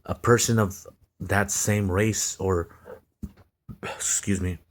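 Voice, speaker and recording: Flat, low-voiced man. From Mexican American. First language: Spanish. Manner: halting, repeats words and restarts. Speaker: video blogger. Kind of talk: reading a text aloud and commenting.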